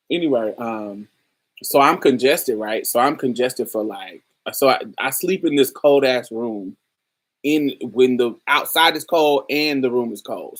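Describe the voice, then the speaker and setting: Laughing New woman telling stories. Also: very deep voice. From New Orleans, USA. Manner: animated storytelling, frequent laughter, swears freely. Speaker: host. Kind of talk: casual livestream chat with viewers.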